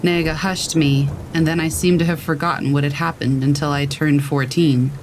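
A man's voice: on the high side, moderate pace, rough recording. A man reading scary stories as a scripted narration. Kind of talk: scripted horror story narration. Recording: steady rain noise over voice. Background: rain sound.

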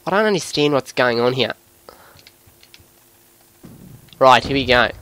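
A man putting on an Australian accent as an excitable, sweary bloke giving live video game commentary in a voice pushed higher than usual. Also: quick pace, slight background noise, rough recording. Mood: excited, exasperated. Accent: put-on Australian. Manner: excitable, sweary, breaking into giggles at himself. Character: excitable Australian bloke gamer, jokey larrikin.

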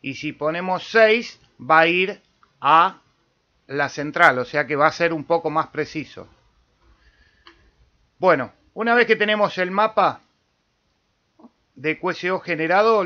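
A man with an Argentine accent giving a casual step-by-step software tutorial as host, with small check-in tags. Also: on the high side, animated, unhurried, pauses often. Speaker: amateur radio tutorial host. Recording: dull lo-fi voice-over recording.

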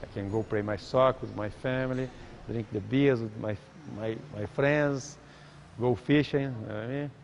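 A man in his forties with a Brazilian accent, a native Portuguese speaker, telling an interviewer about life back home in relaxed, halting English. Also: medium pitch, creaky, slight background noise. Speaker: interviewee, auto shop owner. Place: indoor auto workshop. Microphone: lapel mic.

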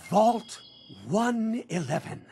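An actor playing a pushy, cheerful, polite door-to-door salesman in a video game, with a raspy voice. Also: sits high, lively intonation, slow pace, warm tone, exaggerated voice. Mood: eager, urgent. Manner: effusive, polite, ingratiating. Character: eager, fast-talking male door-to-door salesman, pushy and cheerful.

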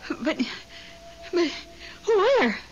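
Genteel widow on muffled radio. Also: female voice, very high-pitched, very animated, drawn-out words, exaggerated voice. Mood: startled. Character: genteel, chatty widow pining for her late husband. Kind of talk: 1970s radio drama acting. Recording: dull, faintly noisy 1970s radio.

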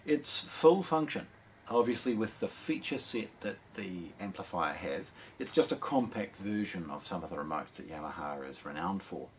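A middle-aged man with a New Zealand accent casually presenting an unboxing, in a hesitant, improvised way with frequent pauses. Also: low-pitched, expressive. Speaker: product presenter at an audio shop. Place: small indoor showroom with shelves and speakers. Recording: clear background noise.

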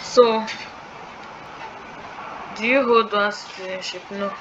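Woman, slow and halting. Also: mid-range voice, steady tone. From Nigeria. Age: young adult. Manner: thinking aloud while typing, hesitant. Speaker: scholarship tutorial creator. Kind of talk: screen-recorded walkthrough. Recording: dull lo-fi sound with clear background noise.

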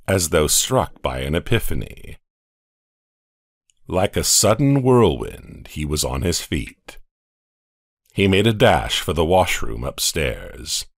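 Slow, deep-voiced male narrator for an audio horror comic, animated delivery. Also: gravelly, no echo, clean studio sound. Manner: slow dramatic storytelling.

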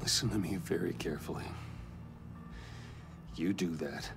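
A brooding vigilante hero in a video game, with a low voice. He is stern and controlled, speaking tersely and firmly over clear background music. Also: moderate pace. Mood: warning. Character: stern, guarded, brooding male vigilante hero in his thirties. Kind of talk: video game character acting.

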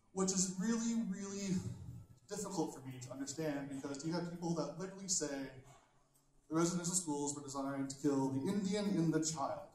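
A young man doing a stand-up comedy set on a small stage with a handheld mic, telling dark jokes bluntly and chatting with the crowd between jokes. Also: fairly high pitch, slight background noise. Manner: dark, blunt humor with conversational asides. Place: large wood-panelled hall with a small stage. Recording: very echoey hall from a distant camera.

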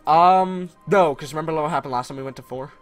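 A man's voice: on the high side, quick pace. A young man with a Canadian English accent, chatting and teasing his co-player while playing a strategy game. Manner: teasing, chatty, laughs at own jokes. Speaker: gamer playing multiplayer strategy. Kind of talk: casual gameplay chat. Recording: faint game music behind.

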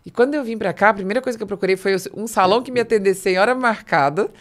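A woman's voice: mid-range voice, very fast. A middle-aged Brazilian woman hosting a casual interview, readily jumping in on her guests' thoughts. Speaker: podcast host. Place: small acoustically padded podcast studio. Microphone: close podcast mic on boom arm.

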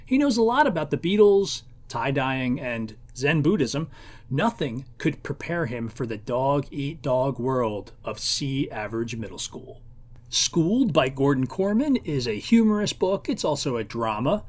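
Animated man presenting books. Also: mid-range voice, unhurried. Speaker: host of a book read-aloud show. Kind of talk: scripted narration and book preview.

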